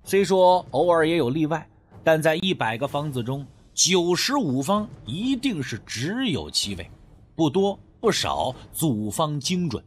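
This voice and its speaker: Husky, highly expressive male narrator. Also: measured pace. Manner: dramatic, expressive storytelling. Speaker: audiobook narrator. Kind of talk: scripted first-person mystery story narration.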